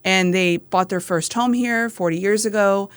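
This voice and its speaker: A woman's voice: mid-range voice, normal speed, clean tone, no echo. A woman from San Antonio, Texas, a city council member, talking through a casual panel conversation and telling stories about the residents she met. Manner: storytelling, acts out voices of residents.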